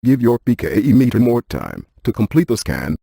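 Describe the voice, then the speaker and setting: An actor playing a cerebral, methodical paranormal scientist in a video game, with precise, deadpan, technical delivery. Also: male voice, mid-range voice, moderate pace, gravelly, husky, no room sound, clean studio sound, full character voice. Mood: methodical, instructive. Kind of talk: scripted video game dialogue.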